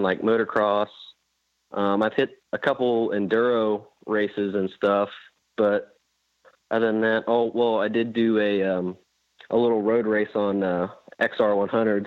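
Young man from Indiana, low-voiced, relaxed and rambling, heard over a tinny phone line, dry sound. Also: unhurried, no echo. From Indiana, USA. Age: young adult. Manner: laid-back, rambling with fillers. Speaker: guest rider. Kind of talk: casual phone interview.

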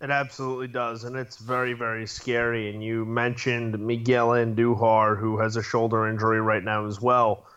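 Podcast dude, opinionated and emphatic, kinda muffled mic. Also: male voice, mid-range voice, little pitch movement, normal speed, few pauses, a bit bright, clear, resonant tone. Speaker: podcast co-host. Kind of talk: casual sports podcast chat.

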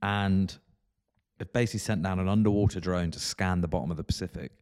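A man with a fairly low voice co-hosts a podcast. He chats casually, rambles through stories and laughs easily with his co-host. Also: steady tone, a little mellow. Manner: jokey, rambling, quick to laugh.

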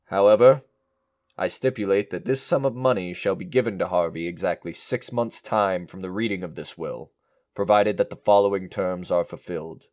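An actor playing an unflappable male attorney in an audio drama, speaking in a measured, officious way. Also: low-pitched, darkish tone, no room sound, clean studio sound, lightly acted. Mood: formal, declarative. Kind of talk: audio drama acting, reading a will.

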